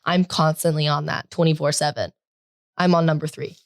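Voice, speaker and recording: A teenage girl co-hosting a podcast in casual chat, talking loudly and rambling with lots of "like" fillers. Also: low-pitched, steady tone, normal speed, no room sound, clean studio sound. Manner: loud, projecting, rambling with many "like" fillers. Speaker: podcast co-host.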